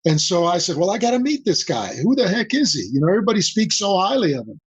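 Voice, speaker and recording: An older man from Mexico whose first language is Spanish, a guest on a podcast interview, talking in a measured way and repeating key phrases for emphasis. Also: high-pitched, big pitch swings, slightly rough, no echo. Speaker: guest, senior advisor. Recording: dull dry lo-fi audio.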